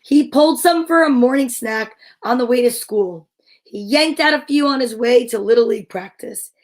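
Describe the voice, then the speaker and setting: A woman reading a children's picture book aloud in a theatrical, suspenseful, drawn-out way. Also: a little high. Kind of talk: dramatic children's story read-aloud.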